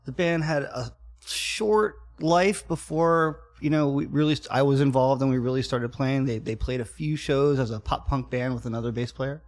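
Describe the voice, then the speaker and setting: A man's voice: mid-range voice, fairly even intonation, moderate pace. A middle-aged man from Florida, a guest musician on an interview podcast, telling stories in a laid-back way, often dropping "man" and "like". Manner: laid-back and storytelling, says "man" and "like" a lot. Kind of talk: casual interview chat.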